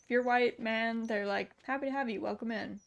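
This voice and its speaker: A woman's voice: on the high side, steady tone, fairly quick, gravelly, slight background noise. A woman streaming a game, chatting casually and answering viewer chat with lots of fillers. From United States. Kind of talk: casual chat while gaming.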